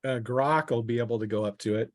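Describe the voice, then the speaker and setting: A man's voice: mid-range voice, fast talker, darkish tone, no echo, clean studio sound. A jovial man acting as game master, casually narrating and bantering through a tabletop role-playing session. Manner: jovial, laughs often.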